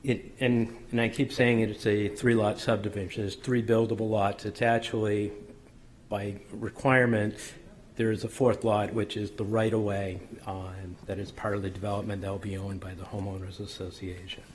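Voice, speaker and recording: Hoarse man presenting plans, flat and low. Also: moderate pace, a little hiss or hum. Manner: methodical, technical, frequent ums and self-corrections. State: slightly hoarse. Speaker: applicant's engineer presenting a subdivision plan. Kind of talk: formal presentation at a public board meeting. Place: city council chamber.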